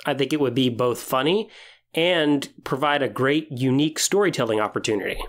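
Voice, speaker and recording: A podcast host speaks alone in a conversational, explanatory manner. The voice sits at a middle pitch, suited to walking listeners through tabletop role-playing ideas. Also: a little fast.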